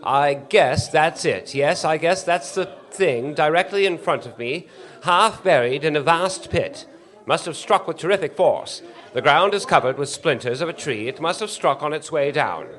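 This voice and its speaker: A man's voice: on the high side, animated, normal speed, a bit bright. An actor playing an earnest, eager male radio news commentator in a 1930s radio drama, with a formal, polite announcer delivery. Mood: excited, awed. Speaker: stage actor in a radio play.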